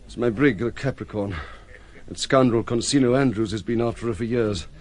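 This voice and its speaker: An actor playing a desperate, indignant and naively earnest sea captain in an audio drama, agitated and halting. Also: male voice, medium pitch, darkish tone, natural voice. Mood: desperate indignation.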